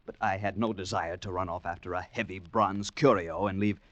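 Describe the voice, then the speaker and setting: An actor playing a prim, eager-to-please store clerk, fussy and hesitant, narrating and acting in 1940s radio drama style. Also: male voice, deep voice, fairly even intonation, fairly quick, no room sound, muffled recording, lightly acted. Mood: aggrieved.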